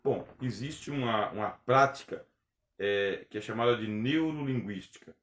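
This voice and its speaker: A man's voice: mid-range voice, unhurried, a bit bright. A man teaching a recorded theology lecture, earnest and emphatic, often asking rhetorical questions. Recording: echoey room.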